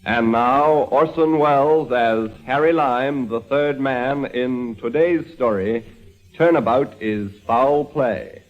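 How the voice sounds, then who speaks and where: A man's voice: medium pitch, slow pace. A man announcing a scripted introduction to an old radio drama show. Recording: dull lo-fi old radio recording. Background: prominent music.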